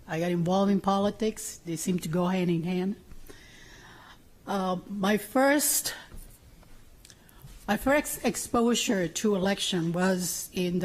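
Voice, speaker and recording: A woman from Puerto Rico testifies informally as a local resident. Her voice sits at an average pitch, and she tells her points as stories, acting out remembered remarks. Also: darkish tone, low-quality recording. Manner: anecdotal, acts out remembered remarks. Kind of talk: informal spoken testimony at a public hearing. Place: city council chamber hearing.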